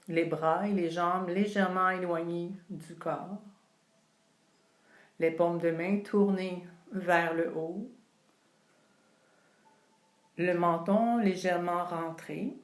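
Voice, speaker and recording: Woman, roomy echo, very slow pace, calm soothing guided meditation, long pauses. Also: fairly low pitch. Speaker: yoga nidra instructor. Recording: roomy, echoing sound.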